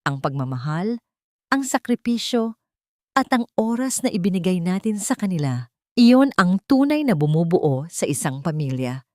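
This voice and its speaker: A woman's voice: on the low side, sing-song, normal speed, a little mellow, no room sound, clean studio sound. A woman voicing an earnest, devoted father in an audio drama, speaking sincerely and solemnly. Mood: heartfelt. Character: David, earnest, devoted adult father and wealthy employer. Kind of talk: Tagalog audio drama dialogue.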